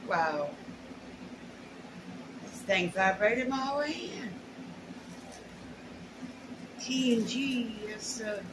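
A woman's voice: fairly high pitch, expressive, slow pace. A middle-aged woman chatting casually and colloquially in a folksy way while unboxing purchases in a room at home. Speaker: haul vlogger. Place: small room at home, indoors. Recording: noisy home camera recording.

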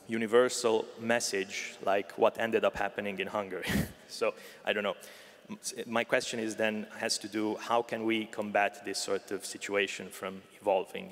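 A man's voice: lowish voice, a bit bright. Romanian man asking from a reverberant hall. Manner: thoughtful, builds his question at length. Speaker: audience member asking a question. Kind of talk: audience question. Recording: long hall reverb, from the audience.

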